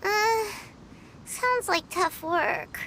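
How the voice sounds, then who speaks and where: A woman voicing a tiny, childlike, bubbly and blunt fairy companion in a video game, squeaky and naively exclamatory. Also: extremely slow, bright, forceful tone, slight background noise, exaggerated voice. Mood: reluctant. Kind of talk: English video game voice acting.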